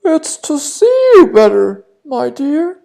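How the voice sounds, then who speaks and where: A sly storybook wolf poses as a frail granny. The voice is a very high, thin falsetto, strained and sugary. Words are stretched out loudly to fool a child. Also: lively intonation, slow, creaky, full character voice. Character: big bad wolf faking a frail sweet grandma voice.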